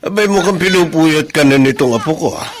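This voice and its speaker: A man acting a fond, teasing older grandfather in a radio drama, chatty and expressive. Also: on the high side, a little fast, lightly acted. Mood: fussing, teasing. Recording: noisy background ambience.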